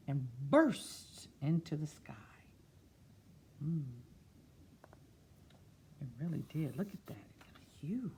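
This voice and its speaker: A woman reading a picture book aloud expressively, slipping into quieter, musing, conversational asides. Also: on the low side, slightly rough. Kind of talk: expressive read-aloud with soft, chatty asides.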